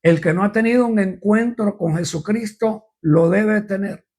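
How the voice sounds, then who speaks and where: A man's voice: high-pitched, normal speed, a little mellow. An older man from Cuba, preaching informally on a live program, rambling through his testimony and repeating refrains.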